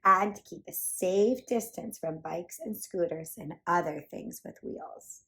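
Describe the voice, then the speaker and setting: Expressive woman reading a picture book aloud. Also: lowish voice, unhurried. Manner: expressive, emphatic delivery with playful emphasis. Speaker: children's storytime reader and host.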